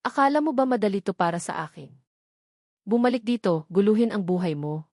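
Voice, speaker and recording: A wounded, defiant young mother, voiced by a female storyteller, speaks firmly and dramatically in a story. The pitch is mid-range and the recording is dry. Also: animated, a little fast, a little mellow, dry recording. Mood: hurt, defiant. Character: wounded, defiant young mother confronting her former lover.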